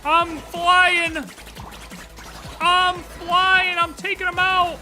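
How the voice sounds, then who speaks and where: Bright-voiced, excitable game streamer. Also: very high voice, extremely slow, faint music behind. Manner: excitable, sarcastic, joking. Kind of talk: live game reaction stream commentary.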